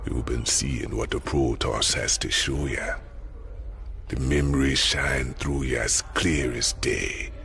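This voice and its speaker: A man playing an eerie, ominous seer in a video game, with a folksy drawl and a gravelly, whispery voice. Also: rumbling low, steady tone, slow, fairly bright tone, full character voice. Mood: knowing, ominous. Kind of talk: dramatic video game voice acting.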